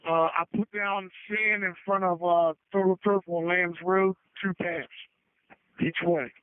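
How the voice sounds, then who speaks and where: A man working on a road crew, checking in over two-way radio in a casual, halting way. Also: high-pitched, fairly even intonation, clean tone, no echo. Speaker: public works road crew driver. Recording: tinny narrowband radio transmission.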